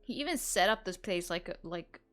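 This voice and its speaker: A woman's voice: lowish voice, lively intonation, fairly quick, fairly bright tone. A woman giving casual let's-play commentary, chatty and thinking aloud. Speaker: gaming streamer.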